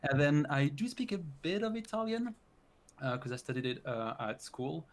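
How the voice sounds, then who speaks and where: A man's voice: fairly high pitch, animated, normal speed. Young man, chatty and laughing. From France. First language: French. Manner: friendly, laughs often, chatty. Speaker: guest, language YouTuber. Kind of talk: casual interview chat.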